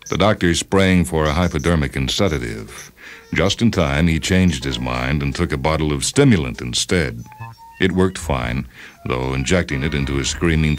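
A man reading a short story as a scripted narration. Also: very deep voice.

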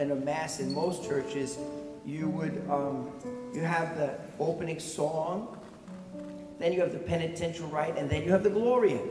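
A middle-aged male pastor with a raspy voice, giving a conversational sermon from a pulpit mic and asking the congregation questions. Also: high voice, distant mic. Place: large church, at a pulpit. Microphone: stand mic at the pulpit. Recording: echoey church heard from afar.

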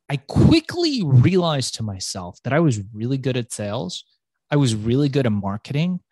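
A man from Iran, whose first language is Farsi, telling his life story as an interview guest in a swaggering, profane, animated way. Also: on the high side, breathy edge, no room sound. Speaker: entrepreneur guest.

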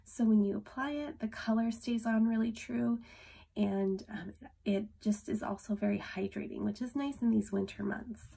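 A woman's voice: a little high, fairly even intonation, normal speed, gravelly, dark tone, breathy edge. A woman in her thirties, congested from a cold, chatting casually to camera as she demonstrates a lipstick, with many ums. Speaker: beauty vlogger. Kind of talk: casual product demo to camera. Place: small room at home, indoors.

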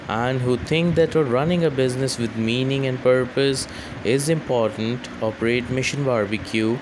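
Man reading a list over background noise. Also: mid-range voice. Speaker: voice-over narrator. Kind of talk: scripted narration.

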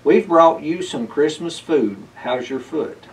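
A man's voice: fairly high pitch, expressive, takes it slowly, voice pushed up. A man reading the part of a kind, cheerful neighbour woman in a storybook, with a friendly delivery. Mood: cheerful greeting. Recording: distant mic, slight background noise.

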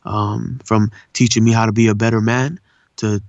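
A man's voice: lowish voice, flat delivery, normal speed, gravelly, no echo. Laid back socal guy with urban swag, talks earnest, says man a lot, kinda muffled dry audio. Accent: Southern California urban. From India. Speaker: guest voice actor and poet. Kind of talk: casual interview chat.

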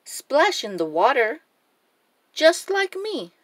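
Female children's storybook reader. High voice, unhurried, deliberate and expressive delivery. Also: no room sound. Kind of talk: expressive read-aloud for young children.

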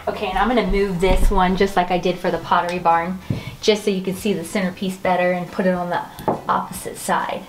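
A woman's voice: lowish voice, little pitch movement, a little fast, soft background music, rough recording. A woman in her forties hosting a chatty home decor vlog, talking through a table setting in her dining room with a camera across the table. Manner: chatty, bubbly, self-teasing. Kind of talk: casual how-to vlog.

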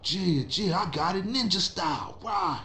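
An actor playing a brash, taunting young gangster who talks in street slang. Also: male voice, sits high, lively intonation, a little slow, sharp tone, reverberant, heavily acted. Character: pushy, mocking young gangster man.

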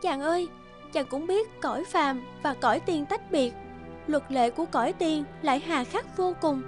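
A woman voicing a devoted young fairy wife in a cartoon, speaking softly in a formal, archaic way. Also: high voice, normal speed, soft background music, lightly acted. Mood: solemn. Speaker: voice actor. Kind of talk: cartoon dubbing.

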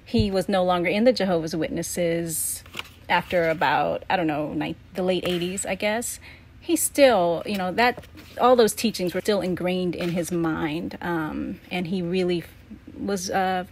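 A woman's voice: lowish voice, measured pace, a little mellow, slight background noise. A middle-aged woman casually reminiscing as she narrates, like a vlogger going through keepsakes.

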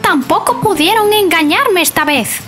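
A woman voicing a clever, cheeky monkey in a cartoon storybook, squeaky and playful. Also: lively intonation, moderate pace, a bit bright, soft background music.

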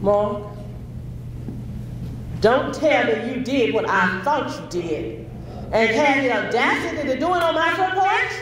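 A woman's voice: high-pitched, lively intonation, unhurried, long pauses, fairly bright tone, a little hiss or hum. A woman acting on a theater stage as a devout, stern mother who quotes scripture in an emphatic, preachy way. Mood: stern, pleading. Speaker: stage actor. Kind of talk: live gospel stage play acting. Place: theater stage with kitchen set. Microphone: far camera. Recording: echoey theater, far-off camera mic.

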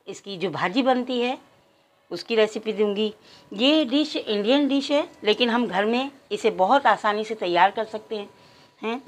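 A woman's voice: mid-range voice, a little hiss or hum. A woman hosts a cooking channel. She walks viewers through a recipe step by step in her home kitchen, addressing them formally and counting out each measure aloud.

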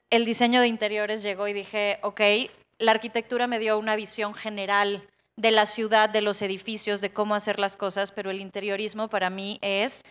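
A Mexican woman, an architect, telling her story on a panel in a relaxed way. Her voice is smooth and clear, and it rings out with a long hall reverb. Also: medium pitch. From Ensenada, Baja California, Mexico. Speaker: architect and interior designer, panelist. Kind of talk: panel talk, personal story.

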